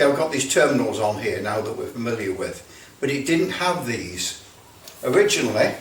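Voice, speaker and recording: Roomy, distant-miked man rambling through a demonstration. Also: medium pitch. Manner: hesitant, rambling, thinking aloud, repeats himself. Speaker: presenter of an electronics repair video. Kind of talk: casual hands-on demonstration talk. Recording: roomy, distant mic, faint background noise.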